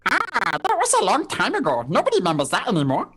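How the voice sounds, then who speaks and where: A woman's voice: on the high side, huge pitch swings, fast talker. A woman playing a villager dismissive of old customs in a radio play.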